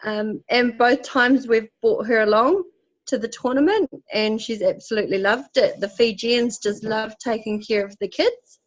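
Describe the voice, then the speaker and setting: A woman from New Zealand's far north with a New Zealand accent, a netball team organiser answering interview questions in a relaxed, friendly way. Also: fairly high pitch, moderate pace, dull audio. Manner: relaxed, friendly, some ums.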